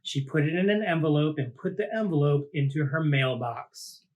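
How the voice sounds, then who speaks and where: Guy teacher doing a kids book read aloud, voice a bit on the high side, casual and expressive. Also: moderate pace. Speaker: teacher reading aloud to students.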